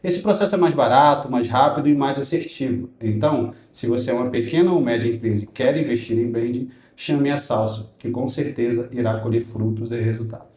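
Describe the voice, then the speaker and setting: A man hosts a podcast, reading sponsor messages in an announcer-like way before turning to friendly interview questions. His voice is mellow and dark, and the room around him echoes. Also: medium pitch, brisk, hardly any pauses, lo-fi sound. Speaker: podcast host.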